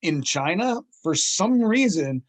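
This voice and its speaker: A man's voice: high voice, big pitch swings, slow. Chatty man telling a rambling joke. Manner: rambling storyteller with restarts. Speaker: podcast host. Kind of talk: casual group chat, telling a joke.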